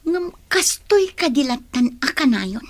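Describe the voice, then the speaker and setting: High-voiced, earnest young woman, played by an actress. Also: big pitch swings, measured pace, no room sound, heavily acted. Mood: anxious. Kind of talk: sentimental audio drama acting. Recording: music bed behind the voice.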